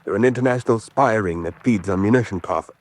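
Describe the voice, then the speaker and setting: A man's voice: fairly low pitch, fast talker, lightly acted. Man playing a desperate hunted spy, urgent and intense. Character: desperate hunted American spy pleading for help. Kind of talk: radio drama acting.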